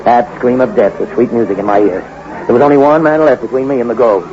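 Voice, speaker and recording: An actor playing a ruthless gold hunter from the Bronx, narrating in a tough, cynical first-person voice. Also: male voice, medium pitch, fast, very noisy, lightly acted. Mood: cold. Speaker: narrator and lead character. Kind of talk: 1940s radio acting. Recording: muffled old radio recording with music.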